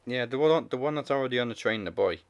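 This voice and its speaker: A man co-hosting a casual podcast, chatty and quick to laugh, retelling film scenes with put-on voices. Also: medium pitch, animated, no room sound, dull audio. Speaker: podcast co-host.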